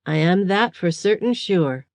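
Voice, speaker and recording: A woman's voice: on the low side, expressive, measured pace, darkish tone, no echo. A woman doing a coarse, cheeky male thug. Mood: mocking. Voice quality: rough, common speech.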